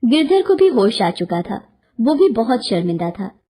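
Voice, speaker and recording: A woman's voice: fairly high pitch, sing-song, a little slow, warm tone. A woman storyteller giving scripted narration of a children's moral story.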